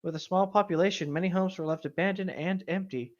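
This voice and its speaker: Mid pitch host joking around and reading stories out loud, casual, playful, quippy. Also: fast, highs cut off. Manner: playful, interjecting quips. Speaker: host of a bad fan fiction reading show.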